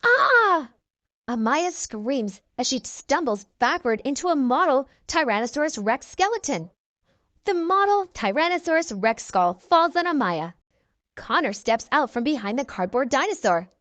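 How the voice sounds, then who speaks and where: A woman reading a children's storybook aloud in animated narration. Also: high-pitched, moderate pace, no echo.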